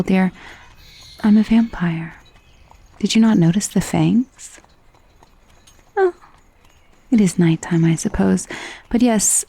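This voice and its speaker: Woman acting as genteel vampire mistress, breathy, mellow, hushed and soothing, possessive, close dry ASMR roleplay. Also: medium pitch. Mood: matter-of-fact, coaxing. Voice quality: soft, breathy. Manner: soothing, genteel, hushed, often slipping into whisper. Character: gentle, wealthy, possessive female vampire mistress, centuries-old undead. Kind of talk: ASMR roleplay, intimate soft-spoken monologue. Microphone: close, dry microphone.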